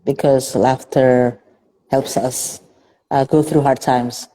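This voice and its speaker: Low-voiced chatty woman, video call. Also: little pitch movement, slow pace, warm tone, rough recording. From Indonesia. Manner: chatty, self-correcting, laughs often. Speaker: festival director and interviewer. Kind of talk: casual online interview.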